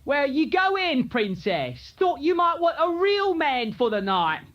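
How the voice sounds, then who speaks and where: Man doing a jeering lewd thug, high and lively. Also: slow, full character voice. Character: leering crude male thug in red cloak. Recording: dull lo-fi recording.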